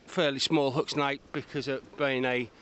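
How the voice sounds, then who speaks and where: A man talking casually to his camera while fishing on the shore at dusk, calmly listing his tackle with small hesitations. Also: mid-range voice, moderate pace. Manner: laid-back, matter-of-fact, lists tackle in detail with small hesitations. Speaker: angler filming his fishing trip. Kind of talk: casual running commentary to camera. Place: outdoors on a windy seashore at dusk. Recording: loud wind and surf over the voice.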